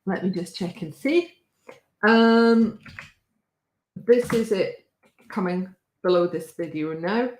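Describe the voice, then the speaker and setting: A woman hosting a casual talk with a wry, chatty manner and a slightly hoarse voice, often pausing with fillers. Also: mid-range voice, reverberant. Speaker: host. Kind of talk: casual live-stream talk.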